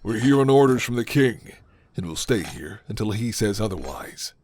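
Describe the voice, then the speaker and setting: A man voicing a defiant soldier captain in a dramatic roleplay, gruff, forceful and barking. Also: lowish voice, big pitch swings, moderate pace. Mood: commanding.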